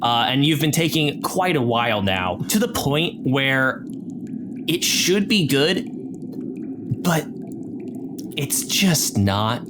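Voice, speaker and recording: Man, game master, improvised narration, halting delivery, noticeable room noise. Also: mid-range voice, very animated. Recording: clear background noise, room ambience.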